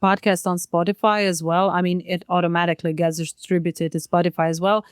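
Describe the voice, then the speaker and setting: A woman's voice: fairly low pitch, flat delivery, fairly quick, smooth, dry recording, clean studio sound. A woman whose first language is Croatian, co-hosting a podcast in casual, thoughtful conversation from a home setup. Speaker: podcast co-host. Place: child's bedroom used as home studio. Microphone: podcast mic at home.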